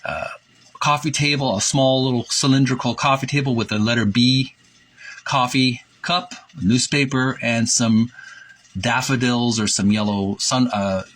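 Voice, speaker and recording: A man reading aloud and describing pictures as a presenter, with an informal, at times halting delivery. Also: mid-range voice, steady tone, a bit bright.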